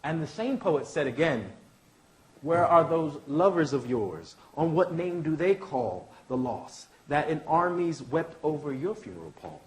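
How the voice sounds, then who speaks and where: A man's voice: on the high side, animated, unhurried, rough recording. A man reading the part of an earnest, idealistic lawyer in a staged play, with a declamatory, oratorical delivery. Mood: stirring. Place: indoor launch event venue.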